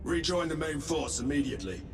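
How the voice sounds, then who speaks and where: A man's voice: on the high side, lively intonation, a little fast. A man voicing a stern, battle-hardened space marine sergeant in a video game, blunt and clipped. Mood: stern, threatening. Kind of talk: cinematic video game acting. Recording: music over the voice.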